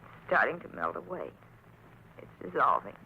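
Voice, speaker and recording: A woman's voice: deep voice, sing-song, moderate pace, gravelly, pushed and bright, exaggerated voice. An actress playing a frightened young woman trapped by a monster in an old-time radio horror drama, pleading and dramatic. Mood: stunned. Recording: noisy, tinny vintage radio recording.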